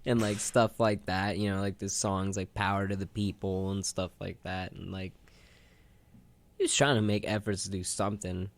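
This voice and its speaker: A man's voice: sits low, little pitch movement, moderate pace. A man co-hosting a radio show, chatting casually in a rambling storytelling way. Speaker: co-host of a retrospective radio show. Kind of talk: casual chat.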